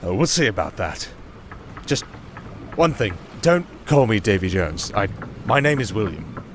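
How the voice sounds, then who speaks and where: An actor playing a silver-tongued wandering musician in a fantasy audio drama, sardonic and theatrical, easily flustered. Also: male voice, lowish voice, sing-song, a little slow, a little hiss or hum. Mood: sly, teasing.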